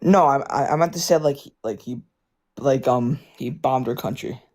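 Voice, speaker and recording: A man's voice: mid-range voice, normal speed, darkish tone. A young man calling into a political show, hesitant and stumbling over his words with casual slang. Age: young adult. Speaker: caller.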